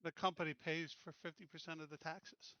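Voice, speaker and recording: A man's voice: fairly high pitch, flat delivery, brisk, sharp tone, no room sound, lo-fi sound. A man playing a tabletop game, chatting casually in a blunt, joking way with some swearing. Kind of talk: casual banter.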